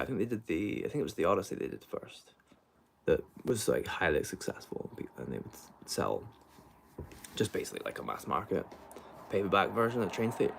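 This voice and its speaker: A man chatting casually and unscripted on a livestream as a book vlogger, rambling and haltingly correcting himself as he goes. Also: fairly low pitch, creaky, a little hiss or hum.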